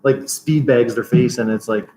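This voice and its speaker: A man's voice: mid-range voice, normal speed, mellow, a little hiss or hum. A man co-hosting a martial arts gym podcast, chatting casually and laughing often. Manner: laughs often, loose rambling talk. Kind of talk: casual chat.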